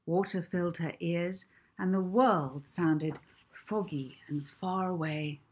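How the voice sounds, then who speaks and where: A woman in her fifties reading her own children's book aloud as an expressive, deliberate storyteller. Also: on the low side, slightly rough, low-quality recording. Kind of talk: children's audiobook narration. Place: small indoor room at home.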